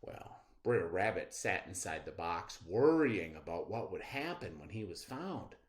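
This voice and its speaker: A man narrates a children's tale aloud. He is unhurried, with deliberate phrasing. His pitch rises and falls dramatically, very much a storyteller's expressive delivery.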